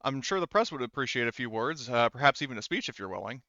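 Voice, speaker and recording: A man voicing a brisk, polite news reporter in a tabletop game, with formal newscaster delivery. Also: mid-range voice, very animated, pushed and bright, dry recording, highs cut off. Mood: brisk, eager.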